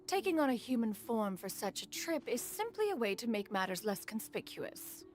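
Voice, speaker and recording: A woman's voice: on the high side, big pitch swings, normal speed, darkish tone. A woman voicing an ancient female crane spirit in human form who speaks in a formal, archaic way, over game music. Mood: stately. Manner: formal archaic speech, refers to herself as "one". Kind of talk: video game cutscene voice acting.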